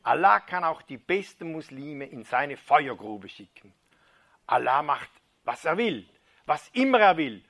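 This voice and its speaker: Male preacher, slightly higher pitch, crisp bright tone; dramatic storytelling read with whispered hushes. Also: very animated, a little slow. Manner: dramatic reading, dropping to a hushed near-whisper at key moments. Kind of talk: sermon retelling a first-person testimony.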